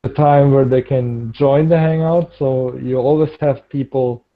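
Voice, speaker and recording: A man's voice: mid-range voice, fairly even intonation, measured pace, narrow, tinny audio. A man chatting casually on a group video call, hesitant and often repeating words as he searches for English. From Germany. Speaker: call participant. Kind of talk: casual online group chat.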